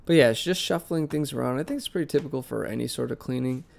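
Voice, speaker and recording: Young male vlog host with a head cold, nasal and gravelly, relaxed rambling delivery. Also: mid-range voice, fast. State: congested, sick and nasal. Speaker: plant channel host. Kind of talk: casual voice-over vlog narration. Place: basement plant room.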